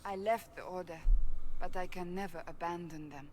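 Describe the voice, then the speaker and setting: A woman voicing a proud, stubborn warrior in a fantasy game, speaking formally, earnestly and deliberately. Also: fairly low pitch, a little mellow, slight background noise, close to an everyday voice. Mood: earnest, pleading. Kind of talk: dramatic video game dialogue.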